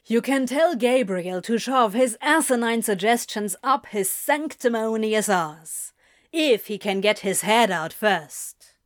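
A woman's voice: on the high side, expressive, slow, no room sound, clean studio sound. A woman voicing a sardonic, grumbling man with a theatrical, sarcastic way of talking, in a story reading. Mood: mocking.

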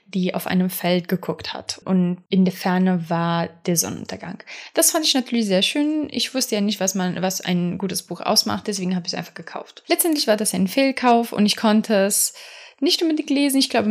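A young adult woman, not a native German speaker, talking in a casual, rambling podcast monologue full of fillers and restarts. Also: medium pitch.

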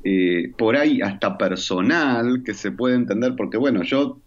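Reflective man, muffled audio. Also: lowish voice. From Argentina. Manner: reflective, measured, pauses with "eh" and "¿no?". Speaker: guest. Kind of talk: explanatory interview answers.

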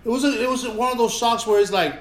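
A man of Puerto Rican family chatting on a podcast, animated and fond of "you know what I'm saying". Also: very high-pitched, fast talker, a loud crowd. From Puerto Rican family, raised in Houston. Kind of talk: casual chat. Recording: echoey room.

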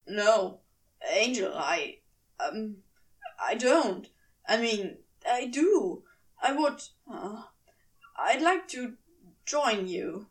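A woman voicing an awkward, bashful, soft-hearted male demon in a story, with halting, stammering, clipped speech. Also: a little high, very animated, distant mic, pitched below the performer's own voice, exaggerated voice. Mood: flustered.

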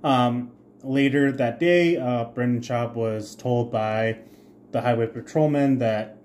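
A man co-hosting a podcast, telling stories conversationally in a halting, informal way with casual swearing. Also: mid-range voice, darkish tone, slight background noise. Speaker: podcast co-host.

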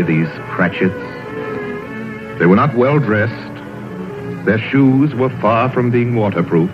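A man narrating a scripted vintage radio drama with measured, solemn phrasing. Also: deep voice. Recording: muffled vintage radio broadcast, music behind.

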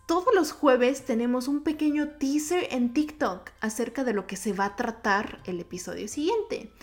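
A woman with a Mexico City accent, a native Japanese speaker, hosting a solo podcast in a chatty, informal, slightly sing-song way. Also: on the high side. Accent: Mexico City Spanish. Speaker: podcast host.

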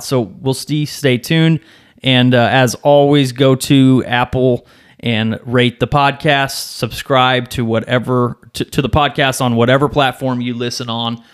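A middle-aged man with a mid-pitched voice talks casually as a podcast host. His delivery is conversational and unscripted, like someone chatting with people he knows. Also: smooth. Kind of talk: casual chat.

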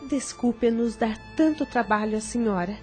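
A humble, apprehensive mother in a radio drama, played by an actress. Her voice is fairly high and deferential, with clear music playing behind it. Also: moderate pace, a little mellow, close to an everyday voice. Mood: humbly grateful.

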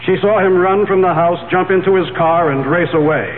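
Old radio drama: solemn male courtroom accuser, played by an actor, measured and emphatic, muffled with faint hum. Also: high voice, lightly acted. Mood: grave, accusing. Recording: muffled, hissy 1970s radio recording with hum.

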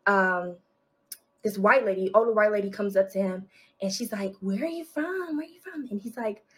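A woman's voice: medium pitch, normal speed. A woman telling a story in a blunt, casual way, swearing and acting out the people she quotes. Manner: blunt, swears, animated quoting.

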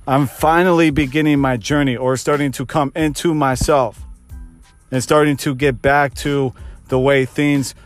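Man, 30s, fitness coach, podcast host, earnest motivational pep talk, repeats phrases, mid-pitched, faint music underneath. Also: steady tone, unhurried.

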